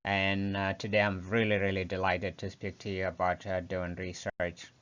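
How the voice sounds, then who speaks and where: A man with an East African accent giving an informal slide presentation as a researcher, speaking haltingly with frequent hesitations and restarts. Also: sits low, monotone, a bit bright, clean tone. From South Sudan, grew up in Ethiopia and Kenya. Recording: dull, muffled lo-fi audio.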